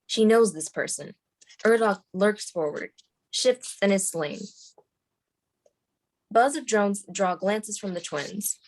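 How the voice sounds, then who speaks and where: A woman's voice: medium pitch, lively intonation, takes it slowly, long pauses, a little mellow, low-quality recording. A woman reading screenplay stage directions as the narrator at a table read.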